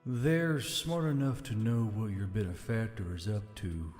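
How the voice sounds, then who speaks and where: A man's voice: fairly low pitch, measured pace, a little mellow, natural voice. A man playing an ancient, aloof spirit bound in a torque, speaking in formal, archaic storytelling phrases for an audiobook. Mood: grave, knowing. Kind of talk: scripted audiobook character acting. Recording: long reverb, music behind.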